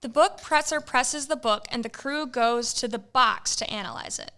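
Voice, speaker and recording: A woman's voice: a little high, moderate pace, sharp tone, smooth. A woman narrating an amateur audio drama, reading stage directions in a matter-of-fact way.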